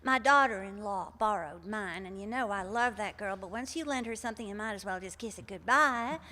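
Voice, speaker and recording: A woman's voice: medium pitch, brisk, sharp tone, a little hiss or hum, lightly acted. A woman playing a chatty, sweet older caller in a live comic radio play, rambling along in a folksy, unfazed way. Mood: chatty, matter-of-fact. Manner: rambling, homespun storytelling. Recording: reverberant hall, live stage performance.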